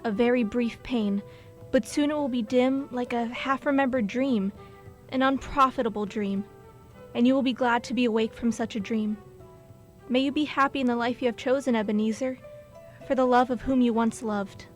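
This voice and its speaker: A woman's voice: fairly high pitch, steady tone, normal speed, faint music behind, lightly acted. A woman playing a sorrowful but resolute young fiancée in a radio drama, earnest and formal. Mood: sad, resolute.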